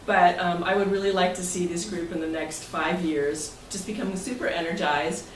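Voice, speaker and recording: An American woman gives an informal, jokey talk as the host of a club meeting. She is picked up from a distance in an echoey room, with clear noise behind her. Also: on the low side, fairly even intonation, moderate pace. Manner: jokey, breaking into chuckles. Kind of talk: informal speech to a club meeting, part read from prepared text.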